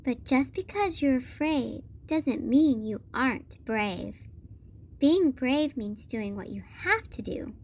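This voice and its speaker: A woman voicing a kindly female bear doctor in a storybook, soothing and reassuring, with a gentle sing-song delivery. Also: high-pitched, lively intonation, slow pace, full character voice.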